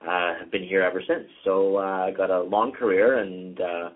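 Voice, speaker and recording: A man's voice: sits low, normal speed. A middle-aged Canadian man, a real estate executive, chatting as an interview guest with lots of ums and uhs. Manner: relaxed, many ums and uhs. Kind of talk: casual interview conversation. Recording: tinny phone-like line.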